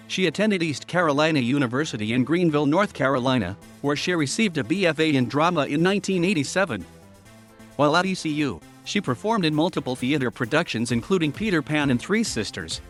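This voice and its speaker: A man reading a scripted biography narration in an even, flat manner. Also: a little high, brisk. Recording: faint music underneath.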